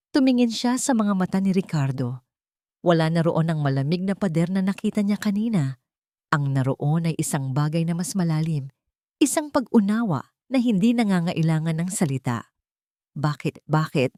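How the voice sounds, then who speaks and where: Low-voiced woman narrating a dramatic story. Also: mellow, dry recording, clean studio sound.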